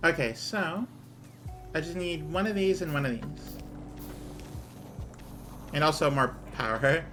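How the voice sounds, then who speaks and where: A man streaming a game, talking casually through his numbers as he plays, with his voice going hoarse. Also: fairly high pitch, lively intonation, unhurried, over music. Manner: muttering numbers while he plays, thinking aloud. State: losing his voice. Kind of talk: casual gameplay commentary.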